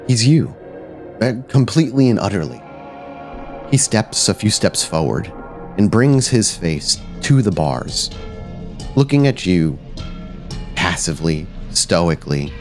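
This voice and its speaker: A man narrating a tabletop horror game as storyteller, suspenseful and deliberate with dramatic pauses. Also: sits low, big pitch swings, a little mellow, soft background music.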